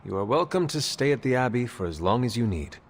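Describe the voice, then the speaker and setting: Earnest hero with gravelly creak. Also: male voice, mid-range voice, very animated, fast, a little hiss or hum. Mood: earnest, curious. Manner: formal, avoids contractions, restrained. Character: earnest, solemn male supernatural hero, measured and polite. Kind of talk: video game cutscene acting.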